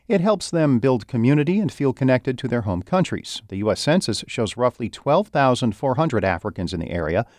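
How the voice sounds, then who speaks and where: A man anchoring a radio newscast, reading the news in a steady, formal way. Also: mid-range voice, no echo, clean studio sound.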